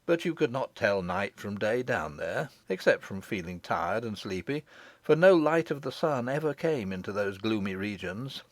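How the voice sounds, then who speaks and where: A man's voice: fairly low pitch, sing-song, moderate pace, no room sound. A man reading scripted storybook narration as an audiobook narrator.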